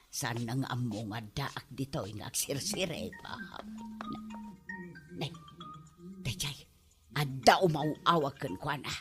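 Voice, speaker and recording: Woman acting nagging, jealous old Ilocano wife, animated. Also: extremely low pitch, over music, full character voice. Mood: sly, muttering. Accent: Ilocano Filipino. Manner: nagging, accusing, drawn-out exclamations. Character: jealous, hot-tempered elderly wife and mother. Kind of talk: Ilocano comedy audio drama acting.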